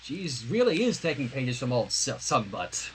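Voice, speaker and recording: A man's voice: mid-range voice, normal speed, breathy edge. A man reading fanfiction aloud on a livestream, narrating theatrically with joking asides. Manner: theatrical, animated.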